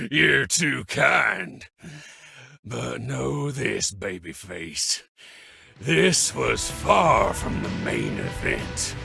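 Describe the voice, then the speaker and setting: A battle-crazed demon racer from a video game, with a very gravelly voice that swoops wildly up and down. He taunts theatrically, cackles and drags his words out very slowly, with music behind him. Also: mid-range voice, huge pitch swings, bright, forceful tone, full character voice. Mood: theatrical, amused.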